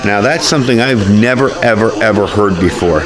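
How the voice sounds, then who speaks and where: A man's voice: fairly low pitch, a little fast, a crowd in the background, rough recording. A man giving a casual, chatty product review with informal, folksy phrasing. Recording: clear background noise.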